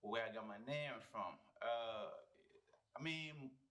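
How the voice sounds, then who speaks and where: Bright-voiced Liberian man, relaxed and laughing. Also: mid-range voice, takes it slowly. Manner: relaxed, laughs often, restarts words. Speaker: guest musician. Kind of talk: casual interview chat.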